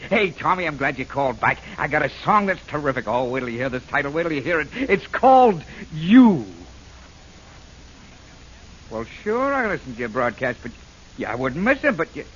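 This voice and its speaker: An actor in a 1940s radio drama playing a jokey music publisher who wisecracks and laughs a lot. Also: male voice, a little high, very animated, normal speed, slight background noise. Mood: breezy. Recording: muffled old radio broadcast.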